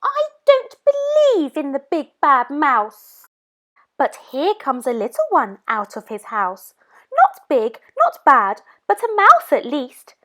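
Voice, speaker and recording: Woman playing young female Gruffalo monster, bold, shrill storybook cries. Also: slow, exaggerated voice. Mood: scornful. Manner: high excited cries.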